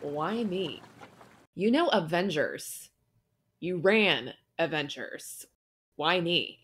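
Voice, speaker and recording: A woman's voice: on the low side, animated, takes it slowly, fairly bright tone, husky, heavily acted. A woman playing a sassy, stubborn young female archer sidekick with dry, snarky delivery. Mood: dry, insistent. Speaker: voice actor in a fan motion comic. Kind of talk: comic-book dialogue acting.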